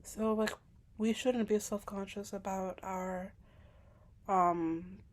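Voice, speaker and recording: Soft-spoken, hesitant young woman. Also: medium pitch, fairly even intonation, slow, a little hiss or hum. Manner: hesitant and self-conscious, heavy use of filler words; soft-spoken, at times dropping to a whisper. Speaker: vlogger. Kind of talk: casual personal monologue.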